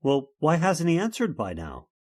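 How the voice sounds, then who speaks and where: Earnest horror hero on old radio, dramatic, lots of pitch movement. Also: male voice, huge pitch swings, normal speed. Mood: anxious. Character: earnest man recounting his supernatural ordeal.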